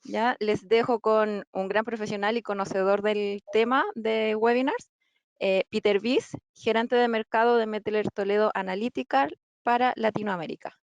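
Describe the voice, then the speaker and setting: A woman's voice: mid-range voice, fairly even intonation, normal speed, no echo. A woman opening and hosting a technical webinar, polite and welcoming, with brief fillers. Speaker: webinar host. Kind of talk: formal webinar introduction. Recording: dry, muffled lo-fi webinar audio.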